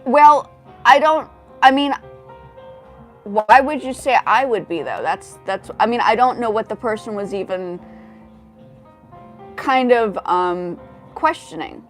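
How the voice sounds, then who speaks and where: A woman's voice: on the high side, expressive, takes it slowly, pauses often, soft background music. A woman in her forties hosting a casual livestream, talking to chat in a rambling way with many restarts. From grew up in South Africa, the United States and Japan. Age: 40s. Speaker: livestream host.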